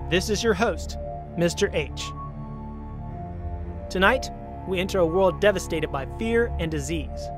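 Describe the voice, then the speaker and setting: A theatrical male host introducing a radio horror anthology. His voice sits high for a man. Music plays loudly beneath his dramatic, measured script. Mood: ominous, solemn.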